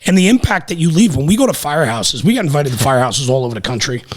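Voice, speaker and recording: Middle-aged male podcaster, voice a bit high, emphatic, plain-spoken, informal. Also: very animated, racing. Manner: emphatic, repeats himself, salty blue-collar phrasing. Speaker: podcast host and firefighter. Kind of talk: casual, animated shop talk. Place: small podcast room indoors at a table. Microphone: podcast microphone on a stand.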